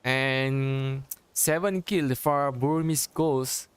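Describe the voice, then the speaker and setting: A man from Malaysia with a Malaysian accent, non-native English, giving halting play-by-play commentary for a mobile battle game, repeating and restarting phrases. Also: medium pitch, clean tone, no room sound. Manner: gets excited during fights. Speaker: esports caster.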